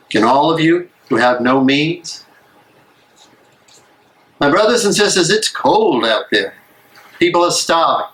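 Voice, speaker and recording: A man acting an earnest, weary American minister of the 1930s in a live dramatic reading, speaking emphatically and deliberately. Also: a little high, a bit bright, poor audio. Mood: rousing appeal. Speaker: actor in a dramatic play reading.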